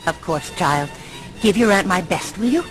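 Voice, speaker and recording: A woman's voice: on the low side, expressive, normal speed, natural voice. An actress playing a kindly but firm older woman in a video game, genteel and motherly in manner. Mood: welcoming. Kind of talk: video game dialogue acting. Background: music.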